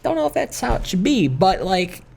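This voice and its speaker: A young man rambling casually and candidly in personal stories over gameplay, introspective, halting and questioning himself. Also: sits high, sing-song, vocal fry, darkish tone, slight background noise. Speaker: gaming video maker.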